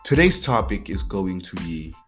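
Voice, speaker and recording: A man's voice: deep voice, big pitch swings, normal speed, a bit bright, soft background music. A young man, hosting his own faith podcast while a bit sick, talking casually with many fillers and restarts. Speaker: podcast host.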